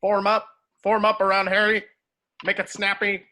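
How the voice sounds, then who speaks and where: A man playing a gruff, commanding veteran wizard in an amateur script read, barking clipped orders. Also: high voice, completely flat delivery, normal speed, sharp tone.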